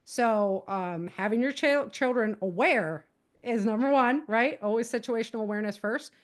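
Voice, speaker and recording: A woman's voice: a little high, normal speed. Middle-aged woman giving emphatic advice. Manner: emphatic, repeats key points, acts out example dialogue. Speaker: podcast guest, author and self-defense advocate. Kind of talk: conversational interview advice.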